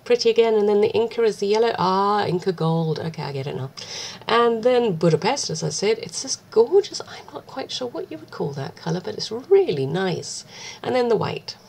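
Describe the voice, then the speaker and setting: A woman speaks in a husky voice, roughened by a cold and touched with gravelly fry. She talks at a middling pitch in a chatty, self-deprecating way, drifting into little asides as she shows her paints. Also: big pitch swings, normal speed, darkish tone. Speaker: art vlogger. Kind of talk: casual craft tutorial chat.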